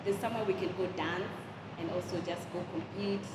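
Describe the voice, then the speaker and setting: A woman's voice: medium pitch, fairly even intonation, a little fast. A Kenyan woman with a Kenyan accent interviewing a guest, encouraging, with frequent affirmations. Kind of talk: casual interview. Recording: echoey room with loud noise.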